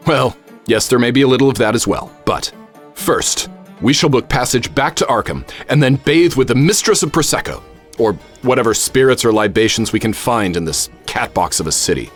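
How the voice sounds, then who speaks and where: Male actor as theatrical archaeology professor, American accent, very lively intonation, flowery and witty, radio play storytelling. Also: mid-range voice, moderate pace, faint music behind, lightly acted. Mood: exultant. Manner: grandiose, flowery wordplay. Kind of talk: radio drama acting and first-person narration.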